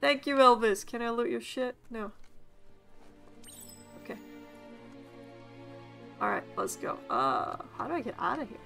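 A woman's voice: a little high, lively intonation, unhurried, vocal fry, pauses often, fairly bright tone, faint music behind. A woman from Sweden with a mild Swedish accent, chatting casually and swearing as she streams a game with rambling asides. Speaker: VTuber streamer. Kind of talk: casual gameplay commentary.

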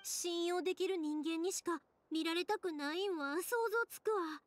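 A woman's voice: very high-pitched, moderate pace, dry recording. An actress playing a kind-hearted young woman in an anime game, speaking earnestly and expressively with a Kansai accent. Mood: pleading, compassionate. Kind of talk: anime game dialogue acting.

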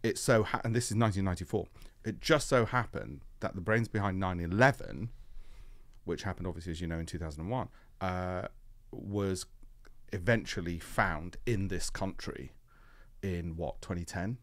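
A low-pitched man in his fifties from Leeds talks as a guest in a podcast studio, close on a desk mic with a dry, dead sound. His manner is animated and joking, full of quick asides and restarts. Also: no room sound. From Leeds, England. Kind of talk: casual interview chat. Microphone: desk mic on a boom arm.

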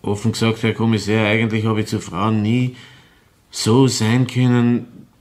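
An actor playing a bitter, woman-hating killer in a crime radio drama, confessing deliberately in Viennese dialect. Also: fairly low pitch, level tone, a little mellow, lightly acted. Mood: bitter, brooding. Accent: Viennese Austrian. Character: confessing opera-house murderer, brooding, bitter woman-hater in his thirties.

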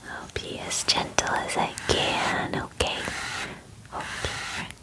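A woman in her twenties speaking in a soft, breathy whisper close to the mic, gently and soothingly playing an attentive spa groomer in an ASMR roleplay, often repeating words. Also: takes it slowly, low-quality recording. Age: 20s. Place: small dim room, indoors. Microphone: very close microphone, facing camera.

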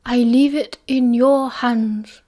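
A woman voicing an old male headmaster wizard, calm and measured, speaking slowly and softly, often near a whisper. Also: on the high side. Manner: slow, soft, half-whispered delivery.

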